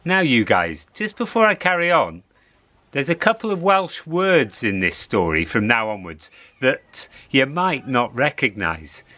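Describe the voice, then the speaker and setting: A man telling a story to children in an expressive, encouraging way, repeating phrases for dramatic suspense. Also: mid-range voice, a little slow.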